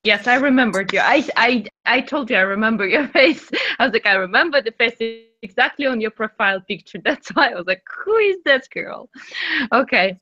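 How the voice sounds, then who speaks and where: A woman's voice: a little high, expressive, fairly quick, pushed and bright. Woman hosting a club over a dull call. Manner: friendly, laughs often, fillers. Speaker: speaking club host. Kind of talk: casual chat, leading discussion. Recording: dry, dull lo-fi audio.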